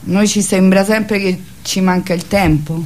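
A woman's voice: medium pitch, fairly even intonation, unhurried, a little mellow. A middle-aged woman speaking as an interviewed theatre artist, in a colloquial, understated, casual way.

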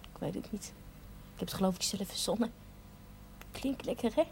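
A woman's voice: mid-range voice, moderate pace, creaky, a little mellow, lightly acted. A Dutch actress playing a naive, plucky pregnant girl from a poor family, candid and childlike, sometimes dropping to a whisper, in a television drama. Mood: sheepish, playful. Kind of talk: naturalistic 1970s television drama acting. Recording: faintly noisy 1970s television soundtrack.

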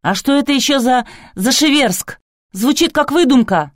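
A woman's voice: high voice, expressive, moderate pace, dry recording, pitched above the performer's own voice. A domineering man who runs a TV show, played by a woman narrator in a storybook reading. He is brusque and demanding, barking his words with force in a very dry recording. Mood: skeptical. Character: brusque, domineering male TV producer, angry and commanding.

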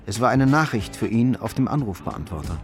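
A man narrating a radio crime drama in a measured, factual storytelling manner. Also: medium pitch, sing-song, music in the background, lightly acted.

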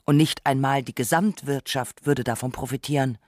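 Dry, close, mid-pitched radio narrator. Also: steady tone, normal speed, dry recording. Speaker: second narrator. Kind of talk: scripted documentary narration.

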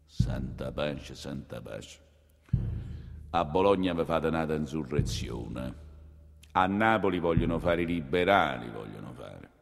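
A man voicing a weary, wary high-ranking Neapolitan prelate with a Neapolitan accent, in stage-monologue style. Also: expressive, measured pace, creaky, full character voice. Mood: weary, grave. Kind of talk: theatrical monologue acting. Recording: long hall reverb, music behind.

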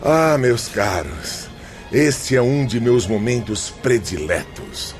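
An actor playing a dignified, lofty poet's spirit in a Brazilian radio drama, with a pompous, declamatory manner. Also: male voice, mid-range voice, big pitch swings, slow. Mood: enthusiastic. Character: Vitor Hugo, dignified, lofty poet spirit. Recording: music under the voice.

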